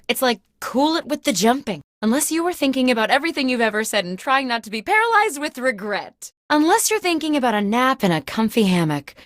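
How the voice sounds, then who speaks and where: Quirky female office boss, game host, high-ish pitch, swooping intonation, peppy, mock-corporate, comedic. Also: no echo, studio quality. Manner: animated, mock-corporate comic delivery. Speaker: video game host character. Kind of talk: scripted comedic game show hosting.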